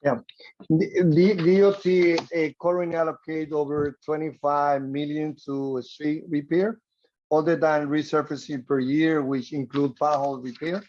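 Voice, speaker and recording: Official, flat dull audio. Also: male voice, a little high. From Dominican Republic. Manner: earnest, halting, repeats words. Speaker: transportation official. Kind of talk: testimony at a council hearing.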